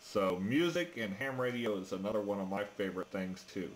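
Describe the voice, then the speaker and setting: A man chatting casually as an amateur radio operator, talking in a hesitant, halting way. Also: on the low side. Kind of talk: casual ham radio chat. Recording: noisy amateur radio transmission.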